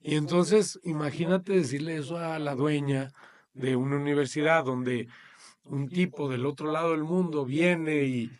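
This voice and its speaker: A middle-aged man with a Mexican accent, recounting his own life as a podcast guest. His voice sits a little high for a man, and he talks in an animated, slangy way. He laughs easily as he goes. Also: measured pace. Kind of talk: storytelling in casual interview.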